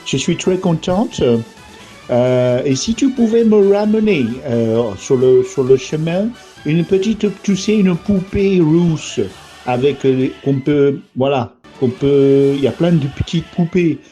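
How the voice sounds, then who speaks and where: A man's voice: a little high, expressive, slow pace, dark tone. A man voicing a doting woman who dictates a rambling love letter, stumbling and mixing up places as she goes. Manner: rambling, hesitant, muddles places. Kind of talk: improvised comic letter. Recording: slightly muffled, faint music behind.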